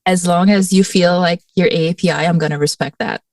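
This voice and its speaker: A woman from Mauritius co-hosting a podcast, asking questions in a measured, friendly way. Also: lowish voice, steady tone, darkish tone, dry recording. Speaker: podcast co-host. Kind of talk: casual conversational podcast chat. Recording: dry and slightly distant.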